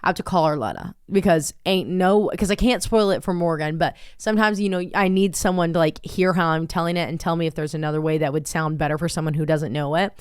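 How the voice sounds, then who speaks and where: A woman's voice: low voice, fast, few pauses, clear, resonant tone, no echo, studio quality. A woman in her twenties co-hosting a podcast, telling stories in a rambling, casual way and swearing freely. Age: 20s. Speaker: podcast co-host. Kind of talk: casual chat.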